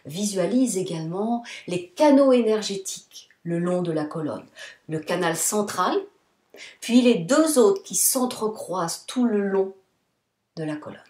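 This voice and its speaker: Slow, roomy-voiced woman guiding relaxation. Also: medium pitch, expressive, darkish tone. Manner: soothing, deliberate, short phrases with pauses. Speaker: yoga nidra instructor. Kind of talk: guided relaxation meditation, spoken instructions. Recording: roomy, echoing sound.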